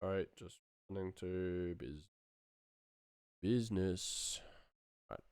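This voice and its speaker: Low-voiced sound designer musing aloud, dry recording. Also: takes it slowly, a little mellow, smooth, no echo. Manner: musing, playful muttering to self. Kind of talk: casual screen-share workflow commentary, thinking aloud.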